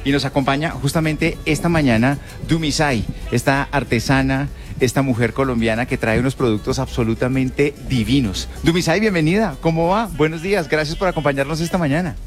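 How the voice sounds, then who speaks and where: A man's voice: medium pitch, fairly quick, few pauses, faint music behind. A man hosting a live radio show, chatting and laughing easily with guests. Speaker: radio host.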